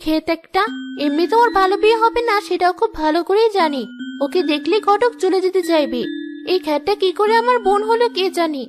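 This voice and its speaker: A woman's voice: extremely high pitch, expressive, a little fast. A woman voicing a young woman in a cartoon family story. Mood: vain, scornful. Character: young Bengali sisters, one vain, one dutiful. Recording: music behind the voice.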